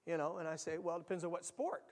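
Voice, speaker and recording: An American man preaching a sermon to his church, earnest and repetitive, swelling loud on key lines. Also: fairly high pitch, animated, fast, long reverb tail. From United States. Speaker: pastor. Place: church sanctuary.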